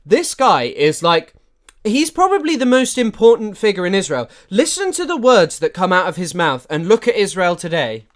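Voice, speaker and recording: A man giving chatty live-stream commentary, animated and full of fillers and restarts. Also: high voice, normal speed.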